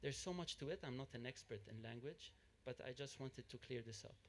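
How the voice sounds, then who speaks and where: A man's voice: medium pitch, a little fast, a little hiss or hum. A man with a mid-range voice speaks up from the audience at a panel. He explains his point earnestly and steadily, correcting what was said before. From Lebanon. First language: Arabic. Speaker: audience member.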